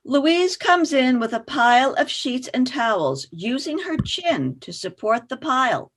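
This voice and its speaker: Woman narrating a play with lively intonation. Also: high-pitched, measured pace. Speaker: stage-directions narrator.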